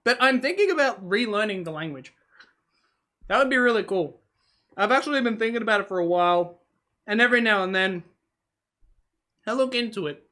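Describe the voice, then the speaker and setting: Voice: mid-range voice, expressive, a little fast, long pauses, bright, forceful tone. A vlogger whose first language is Hungarian, talking casually to camera while snacking, musing aloud and wandering between thoughts with lots of fillers and self-corrections. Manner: talks while eating on camera. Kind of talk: casual rambling vlog.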